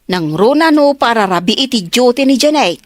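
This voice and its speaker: Nosy mother, played by an actress, very high voice, animated intonation, chatty, dry studio sound, radio serial. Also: normal speed, dry recording, natural voice. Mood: chatty, curious. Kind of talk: radio drama acting.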